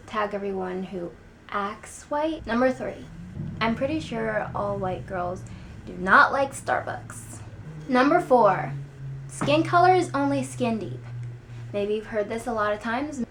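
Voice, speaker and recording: A young woman with an American accent speaks straight to her vlog audience. Her pitch sits in the middle range for a woman, and she leans on key words with deliberate emphasis. There is some background noise in the recording. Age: young adult. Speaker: video blogger. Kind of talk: opinion vlog to camera. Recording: clear background noise, room ambience.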